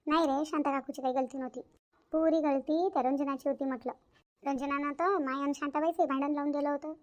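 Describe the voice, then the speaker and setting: A woman's voice: high-pitched, steady tone, fast talker, natural voice. A woman playing a bossy, self-praising village mother in a Marathi family cartoon, chatty and emphatic, with a Varhadi accent from Vidarbha. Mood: defensive. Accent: Varhadi Marathi of Vidarbha, India.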